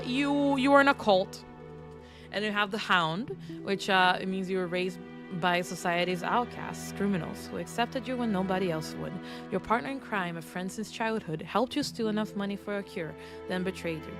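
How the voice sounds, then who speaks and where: A woman's voice: mid-range voice, moderate pace. Congested playful woman streamer, game music. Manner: playful and self-mocking, with frequent restarts. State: congested, throaty. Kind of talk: casual game stream commentary, reading game text aloud.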